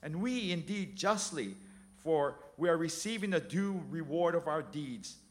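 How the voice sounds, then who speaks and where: A man's voice: fairly high pitch, animated, measured pace. A man voicing a condemned robber who speaks earnestly and urgently, in a raised voice. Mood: pleading. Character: penitent condemned male robber pleading.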